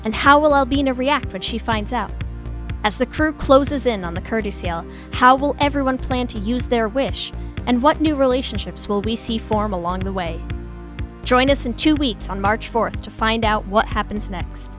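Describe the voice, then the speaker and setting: A woman with a fairly high voice delivers scripted podcast announcements. Music plays clearly behind her narration. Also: moderate pace. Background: prominent music.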